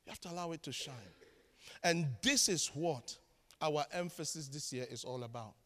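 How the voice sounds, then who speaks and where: High-voiced Ghanaian preacher in a hall. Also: male voice, normal speed. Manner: storytelling preacher, swings from shouts to hushed asides, self-mocking humor. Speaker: pastor. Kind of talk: sermon. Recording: long hall reverb.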